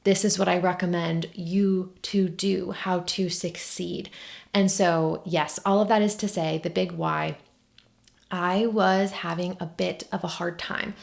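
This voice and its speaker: Young woman in her twenties, casual solo podcast host, chatty and candid, a touch of vocal fry. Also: fairly low pitch, fairly even intonation, measured pace. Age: 20s. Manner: chatty and candid, lots of "like" and "oh my gosh" asides. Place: at home by a window.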